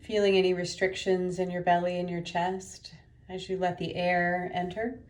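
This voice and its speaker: A woman leading a guided meditation as a teacher, giving gentle, unhurried instructions with pauses. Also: lowish voice, flat delivery.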